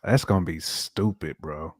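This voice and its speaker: A man's voice: low-pitched, normal speed, no echo, studio quality. A man chatting casually as a hip hop podcast panelist, slangy and repeating phrases for emphasis.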